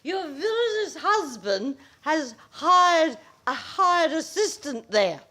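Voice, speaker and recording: A woman's voice: high-pitched, big pitch swings, takes it slowly, bright, forceful tone, exaggerated voice. A woman playing an indignant, overbearing older mother-in-law in a comic radio play, declaiming and wailing theatrically. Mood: accusing.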